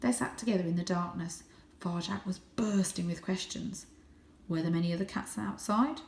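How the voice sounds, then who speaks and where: A woman narrating a children's storybook in an expressive, dramatic way. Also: lowish voice, normal speed, vocal fry. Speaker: storybook reader, teacher reading to children. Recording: roomy, echoing indoor sound.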